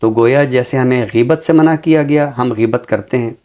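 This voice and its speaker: A man with a medium-pitched voice speaks on a literary programme. His delivery is deliberate and reflective. He phrases things for emphasis, as someone used to reciting verse would. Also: a little mellow. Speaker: literary talk show commentator. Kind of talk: reflective commentary and Urdu poetry recitation.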